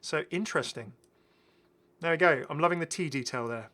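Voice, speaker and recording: A man's voice: on the high side, a little fast, bright, forceful tone. A British man giving casual, enthusiastic, rambling commentary as the host of a gaming video. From Britain. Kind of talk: casual commentary over game footage.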